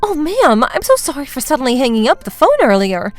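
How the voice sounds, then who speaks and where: Young daughter-in-law voiced by a woman narrator, high and animated, playing innocent and polite in a family drama story. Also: moderate pace, no echo, soft background music. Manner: exaggerated innocence, polite, plaintive.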